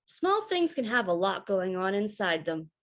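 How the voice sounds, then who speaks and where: A woman's voice: mid-range voice, sing-song, unhurried. A woman voicing a small, thoughtful schoolboy in a children's storybook, earnest and careful. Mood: earnest realization.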